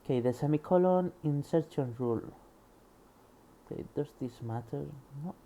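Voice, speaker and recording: A man's voice: on the high side, moderate pace, slight background noise. A man with a Spanish accent reads a programming book aloud and mutters to himself as he tries things out. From Spain. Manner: reads passages aloud, then muses quietly to himself. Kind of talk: casual screen-recorded reading and coding.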